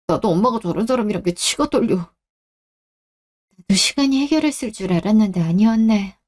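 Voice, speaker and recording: A young man voicing a protective husband in a radio drama, speaking in a low, firm and steady way. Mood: bitter. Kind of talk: Korean radio drama acting.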